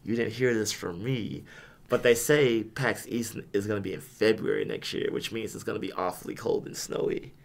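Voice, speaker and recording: A young man hosting a casual podcast, hoarse from losing his voice, with laid-back, self-deprecating banter. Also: lowish voice, fairly even intonation, normal speed. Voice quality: raspy. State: hoarse, lost voice. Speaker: podcast host.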